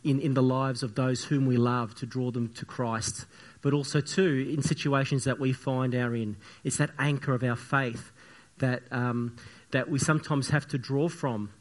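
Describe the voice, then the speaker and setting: Croaky-voiced preacher man, earnest and halting. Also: mid-range voice. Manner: earnest, repetitive, halting with many fillers and restarts. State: croaky, sniffly voice from the flu. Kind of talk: church sermon with opening prayer.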